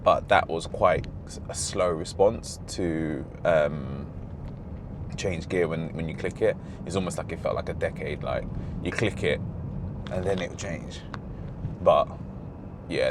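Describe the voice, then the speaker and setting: Deep-voiced man chatting while driving. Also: unhurried. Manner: rambling, self-correcting, easygoing. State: slightly hoarse, losing voice. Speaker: car reviewer, vlog host. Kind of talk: casual driving commentary. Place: inside a moving car. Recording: car road noise behind. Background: engine and road noise.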